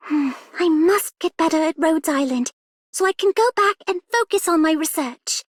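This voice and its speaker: A super high girly game character, young n earnest, close dry studio sound. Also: a little slow, dry recording. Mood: wistful, earnest. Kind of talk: scripted game character voice lines.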